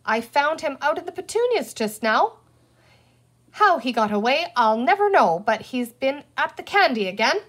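A woman voices a busy storybook mother with a fairly high voice. She is fed up but in charge, brisk and insistent as she hands out firm instructions. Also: big pitch swings. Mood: exasperated.

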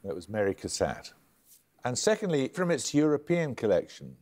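An older man hosting a television quiz, reading questions in a crisp, measured way with dry amusement. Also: medium pitch, sing-song, darkish tone. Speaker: quiz show host. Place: television studio.

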